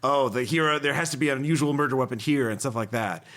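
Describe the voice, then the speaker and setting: A man hosting a casual gaming podcast, chatting in an animated, exclamatory way. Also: mid-range voice, fast. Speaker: podcast host.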